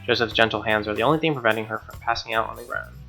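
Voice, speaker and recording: A man's voice: fairly low pitch, fairly even intonation, very fast, vocal fry, bright, forceful tone. A young man reading a visual novel's narration aloud with casual asides as he plays. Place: small home room, indoors. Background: game music.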